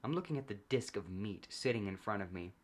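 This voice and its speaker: A man narrates a comedic story in the first person. His voice sits on the low side, and he keeps a deadpan delivery as he reads. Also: a little fast.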